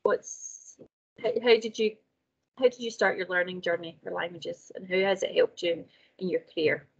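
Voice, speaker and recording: A woman's voice: on the low side, normal speed, creaky, husky. Host: woman moderating a video panel, encouraging, muffled call sound. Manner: encouraging, guides the questions. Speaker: event host. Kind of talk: hosting an online panel.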